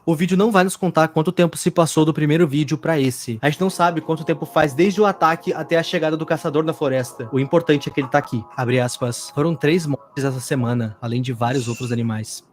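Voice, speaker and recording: A man's voice: on the high side, fast talker, few pauses. A Brazilian man hosting a reaction video, retelling a story in casual, colloquial commentary with some sarcasm.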